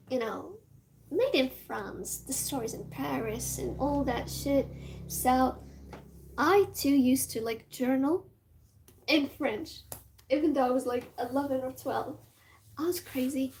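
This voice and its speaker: A young woman from Morocco with a Moroccan accent, Amazigh her first language, chatting casually and excitably, rambling with many fillers and dropping into whispers. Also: high-pitched, rough recording. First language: Amazigh (Tamazight). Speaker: vlogger.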